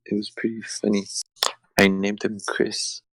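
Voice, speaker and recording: A young man with a low, gravelly voice talks loosely on a call. He rambles, swears and jokes his way through crude banter. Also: monotone, a little slow, a bit bright, no echo, poor audio. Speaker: podcast host. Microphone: remote call over shaky wi-fi.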